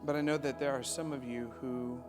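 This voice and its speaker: A man leading a closing prayer in a gentle, quiet pastoral manner. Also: mid-range voice, fairly even intonation, brisk. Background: soft ambient worship music.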